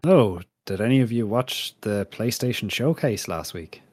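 A man's voice: on the low side, lively intonation, normal speed, darkish tone, soft background music. Wry man hosting a casual podcast. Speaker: podcast host. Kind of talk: casual panel chat.

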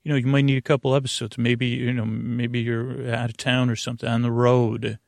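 A man hosting a sleep podcast in a meandering, halting bedtime monologue, full of restarts and whimsical, punning tangents, with a gravelly voice. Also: mid-range voice, flat delivery, darkish tone, no echo, clean studio sound. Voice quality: creaky, gravelly. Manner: whimsical, punning, silly asides.

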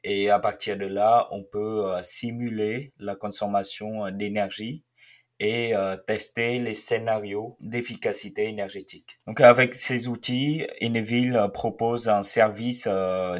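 A man in his twenties from Vietnam, speaking, sharing his experience as a researcher and startup founder in a hesitant way, with many filled pauses. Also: fairly low pitch. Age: 20s. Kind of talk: first-person testimony.

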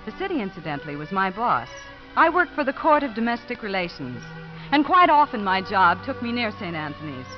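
An actress playing a wistful, warm-hearted young social worker who tells her story in a 1950s radio drama. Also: mid-range voice, sing-song, a little fast, soft background music, close to an everyday voice. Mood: reflective. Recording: muffled 1950s radio recording.

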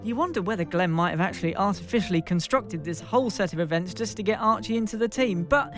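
A man from England narrates a comic football adventure as its game master, his voice pitched high and a little hoarse. He builds each twist with mock drama and lands the punchlines hard. Faint music sits underneath. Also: quick pace, fairly bright tone. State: slightly hoarse. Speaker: podcast co-host and game master.

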